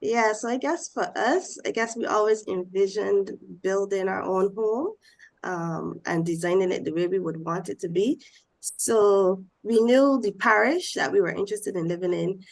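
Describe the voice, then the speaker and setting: A woman's voice: mid-range voice, moderate pace, gravelly. Woman with a cold, nasal voice. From Barbados. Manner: steady, step-by-step explaining. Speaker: panelist. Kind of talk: panel discussion.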